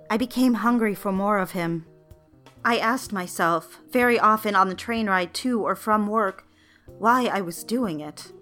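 A woman's voice: mid-range voice, a bit bright, close to an everyday voice. A woman playing a yearning secretary, confiding her diary in scripted first-person narration. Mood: wistful. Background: music.

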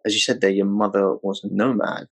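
A man hosting a relaxed interview, easygoing and conversational. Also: on the low side, brisk, gravelly, slightly rough. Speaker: podcast host. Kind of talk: casual interview chat.

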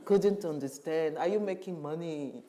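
A middle-aged woman from Cameroon, a curator and museum director, speaking reflectively and with emphasis in a panel conversation, often pausing to search for words. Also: low-pitched, a crowd in the background.

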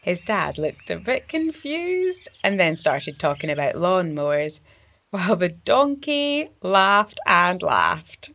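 A woman reading a story for young children in an expressive, deliberate storytelling manner, as the host of a story series. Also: lowish voice, gravelly.

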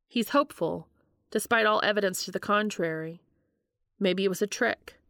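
A woman's voice: mid-range voice, moderate pace, a bit bright, no echo, studio quality. A woman reading scripted fiction aloud as an audiobook narrator. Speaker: fan fiction audiobook reader.

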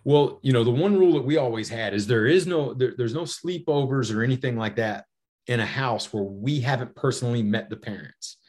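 A middle-aged American man speaks as an interview guest. His voice sits at an average male pitch, and he explains his points in a conversational, anecdotal way, often punctuated with "you know". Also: brisk, a little mellow. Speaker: podcast guest, safety author and former federal air marshal.

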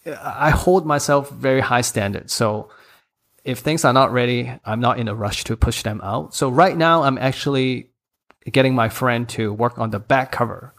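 A man's voice: mid-range voice, normal speed, a bit bright. A man in his thirties with a Hong Kong accent, speaking English as a third language, chatting as a podcast guest in a candid, self-deprecating way with frequent laughs. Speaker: podcast guest, course creator. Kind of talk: casual interview chat.

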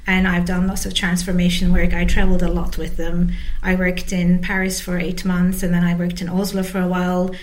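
A woman's voice: on the low side, completely flat delivery, brisk, rough recording. Woman in her forties, interview guest. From Turkey. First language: Turkish. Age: 40s. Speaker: interview guest, technology executive. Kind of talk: conversational interview about career.

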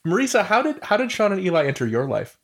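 Animated man hosting a podcast. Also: sits high, fast, slight background noise. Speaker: podcast host and interviewer. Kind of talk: hosting an interview, conversational.

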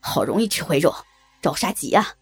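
A coarse, pushy northeastern man of middle years, voiced by a woman narrator. His voice sits deep and husky, and he talks bluntly, bossing people around. It reads like a homespun audio story. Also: big pitch swings, a little fast, no echo, soft background music, exaggerated voice. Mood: rough. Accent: Northeastern Chinese. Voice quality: gruff, low put-on voice. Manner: blunt, domineering.

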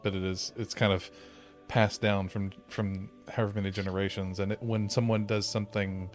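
A man playing a tabletop game, casually explaining things in a halting way as he searches for words. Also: on the low side, little pitch movement, soft background music. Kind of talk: casual chat, explaining character lore.

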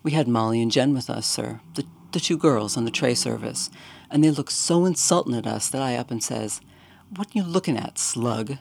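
A man's voice: mid-range voice, normal speed, creaky, darkish tone, no room sound. A man voicing a young male bellhop with a Cockney accent, deferential and earnest, saying sir after nearly every phrase and dropping his g's. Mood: agitated.

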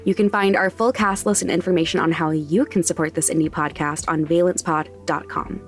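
A woman's voice: on the low side, fairly even intonation, a little fast. A woman reading scripted credits and announcements as the creator of a podcast. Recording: music underneath.

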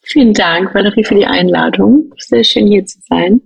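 Husky, creaky-voiced woman, recorded dry. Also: mid-range voice, moderate pace, no room sound, rough recording. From Berlin, Germany. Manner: drops English phrases into speech. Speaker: podcast guest, financial education founder. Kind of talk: conversational interview answers.

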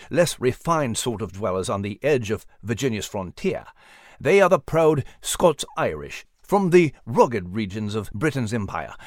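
Guy doing a posh professor mouse for a kids audiobook, super polite, really animated, dry sound. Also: medium pitch, no room sound, lightly acted. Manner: formal, professorial, courteous. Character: refined, bespectacled British gentleman mouse, scholarly and polite.

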